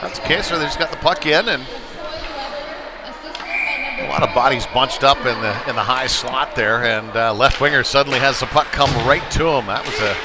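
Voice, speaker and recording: Man calling hockey over loud rink noise. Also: medium pitch, moderate pace, a loud crowd. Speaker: play-by-play commentator. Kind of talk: live youth ice hockey play-by-play commentary. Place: indoor ice rink, at the game. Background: loud rink ambience, bangs.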